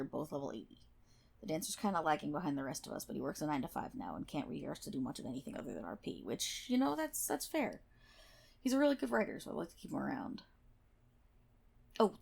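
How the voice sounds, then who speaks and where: A woman's voice: sits low, creaky, a little mellow, husky, heavily acted. A woman playing a prickly, sarcastic guild healer in an online game, scolding and swearing one-on-one in an ASMR roleplay. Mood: teasing, snarky. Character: brash, prickly tsundere MMO guild healer, a woman gamer.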